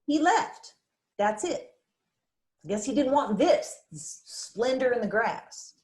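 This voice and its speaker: An actress plays a worn-out, stubborn mother in a film drama, curt and evasive. She drags her words with animated pitch, in an echoey room. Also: takes it slowly. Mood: evasive, upset. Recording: roomy, echoing sound.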